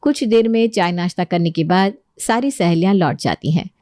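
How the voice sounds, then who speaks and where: A woman's voice: on the low side, expressive, moderate pace, warm tone, no room sound. A woman storyteller reading scripted narration for a moral story.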